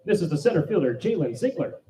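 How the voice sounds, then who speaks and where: A man calling live baseball play-by-play from a booth as announcer, projecting loudly on the calls. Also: on the high side, very animated, quick pace. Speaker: baseball play-by-play announcer, also doing public address. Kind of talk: live play-by-play sports commentary. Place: press booth at a ballpark. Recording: clear background noise.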